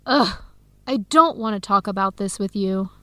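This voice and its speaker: An actress playing an earnest young American woman researcher in a radio drama, with clear, expressive, carefully enunciated speech. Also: medium pitch, normal speed, dry recording, lightly acted. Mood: defensive, exasperated. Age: young adult. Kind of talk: scripted radio drama dialogue.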